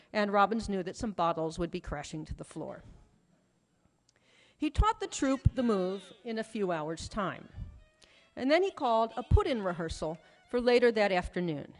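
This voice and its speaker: A woman giving a prepared lecture to an audience, with an articulate, storytelling delivery and emphatic phrasing. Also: medium pitch. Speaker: lecturer, author presenting research. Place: large hall at a conference. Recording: reverberant hall, music playing behind. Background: music.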